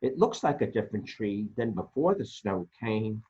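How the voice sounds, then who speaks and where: A man's voice: fairly low pitch, sing-song, moderate pace, dark tone, highs cut off. A man voicing a curious, puzzled toy who asks everything with wide-eyed wonder in a children's picture-book reading. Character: curious, puzzled toy Lumpy, always asking questions.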